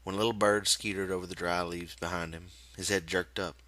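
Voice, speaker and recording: A man reading literary fiction aloud as an audiobook narrator, with measured, deliberate phrasing. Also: low-pitched, steady tone, pushed and bright, no room sound. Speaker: audiobook reader.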